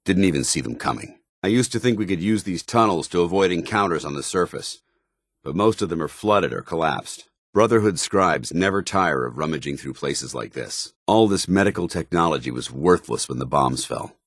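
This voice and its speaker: An actor playing a stern, disciplined armored soldier in a video game, speaking in formal, clipped military phrases. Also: male voice, low-pitched, lively intonation, normal speed, no echo, clean studio sound. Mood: grave. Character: stern, dutiful armored soldier paladin, grown man, devout and disciplined. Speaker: companion character. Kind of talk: scripted video game dialogue.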